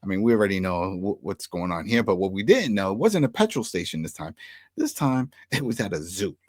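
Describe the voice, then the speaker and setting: A man's voice: low voice, brisk, dry recording, studio quality. A man co-hosting a recap show, talking casually and animatedly as he retells scenes and acts out lines. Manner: animated retelling with acted-out quotes. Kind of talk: casual chat recapping and reacting to a TV episode.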